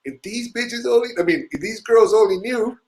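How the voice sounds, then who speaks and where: A man's voice: high-pitched, moderate pace. Profane, rambling male storyteller. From Chile. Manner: rambling, swearing, animated storyteller. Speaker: musician telling stories on a show. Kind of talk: casual storytelling chat.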